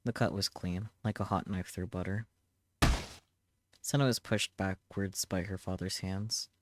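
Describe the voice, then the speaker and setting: A man's voice: low-pitched, fairly even intonation, measured pace, noisy background. A man reading a visual novel aloud on a stream as dramatic story narration.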